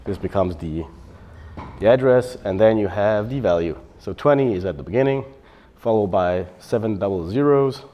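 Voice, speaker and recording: A middle-aged man from Bosnia giving a technical conference talk on developer tooling, relaxed and explanatory, with frequent fillers and self-corrections. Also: lowish voice, moderate pace, dull audio. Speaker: software developer presenting.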